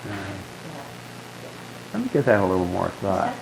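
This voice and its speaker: An older man with a low, growly bass voice, talking casually in a group and rambling through stories with many restarts. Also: huge pitch swings, moderate pace, creaky, over a noisy crowd, poor audio. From Southern California. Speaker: guest being asked questions.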